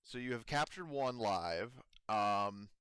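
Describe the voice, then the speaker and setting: A man acting as game master, narrating a tabletop game casually and haltingly as he improvises. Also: fairly low pitch, expressive, fairly bright tone, clear, resonant tone, dry recording. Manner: halting, conversational.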